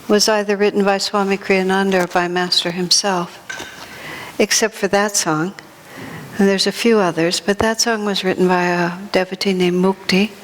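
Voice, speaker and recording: A woman's voice: mid-range voice, slight background noise. A woman giving an informal spiritual talk to a gathering, reflective and searching for her words as a teacher. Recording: long hall reverb.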